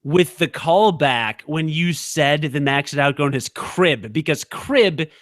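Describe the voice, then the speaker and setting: A man co-hosts a casual podcast, cracking sarcastic jokes and playing a mock expert. His voice sits somewhat high for a man. The recording sounds dry and close, with almost no room echo. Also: animated, slow, a bit bright. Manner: joking, sarcastic, mock-authoritative. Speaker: podcast co-host. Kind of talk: casual chat.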